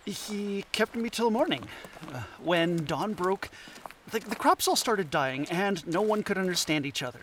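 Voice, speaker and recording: A man's voice: high voice, expressive, measured pace, a bit bright, slight background noise. An actor playing a scatterbrained, anxious male anthropologist in an audio drama, stammering and hesitant. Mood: shaken.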